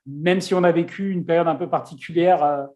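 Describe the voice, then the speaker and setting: A man's voice: a little high, steady tone, brisk, a little mellow. A man hosting a casual interview, chatty, with many fillers and restarts. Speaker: host.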